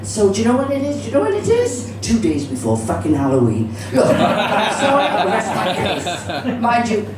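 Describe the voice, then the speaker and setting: A woman's voice: medium pitch, wildly swooping pitch, normal speed, few pauses, a loud crowd. A middle-aged stand-up comedian with a Cardiff accent, her voice swinging up and down as she chats and swears her way through a bawdy live set. She is heard from a distance in a very echoey, noisy venue. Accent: Cardiff Welsh. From Cardiff, Wales. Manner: bawdy, chatty, swears freely.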